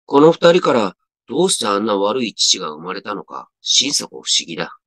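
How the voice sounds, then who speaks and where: Low-voiced man narrating a story over music. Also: fairly bright tone, dry recording. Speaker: story reader. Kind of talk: dramatic scripted narration of a horror story in first person. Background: background music.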